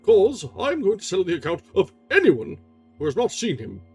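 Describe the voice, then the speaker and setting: A man's voice: sits high, wildly swooping pitch, moderate pace, a little mellow, slightly rough, soft background music, voice pushed up, heavily acted. A man voicing an irascible male opera manager who barks orders and questions sharply. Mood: cold. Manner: barking, imperious, sarcastic.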